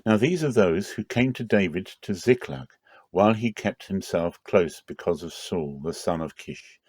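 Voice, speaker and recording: Low-voiced man reading scripture dramatically. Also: animated, moderate pace, a little mellow, no room sound. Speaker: Bible reader.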